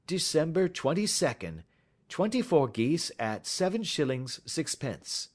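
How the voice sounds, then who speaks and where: A man voicing a shrewd, calm detective who speaks in a measured, offhand way in an audiobook story. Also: on the high side, pitched above the performer's own voice. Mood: cool. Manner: calm, measured, careless-seeming. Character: cool, shrewd male detective, middle-aged.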